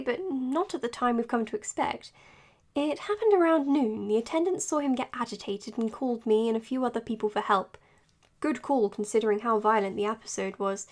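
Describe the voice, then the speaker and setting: A woman playing an earnest, dutiful psychiatrist in an audio drama, speaking in a measured, precise, professional way. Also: fairly high pitch, a bit bright, lightly acted. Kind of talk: audio drama acting as spoken case notes and dialogue.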